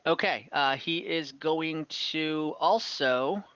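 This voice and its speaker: A game master runs an online tabletop session at a slow, hesitant pace, thinking aloud while improvising the action. The voice is bright and forward. The recording sounds slightly dull. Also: mid-range voice. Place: room, online voice call. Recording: muffled, echoey room.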